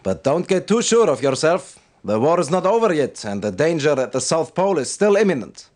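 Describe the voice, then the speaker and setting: Stern, solemn military commander. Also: male voice, a little high, sing-song, a little fast, darkish tone. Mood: stern, urgent. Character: stern, devout male military commander briefing his officers. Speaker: military briefing officer. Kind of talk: scripted video game cutscene briefing.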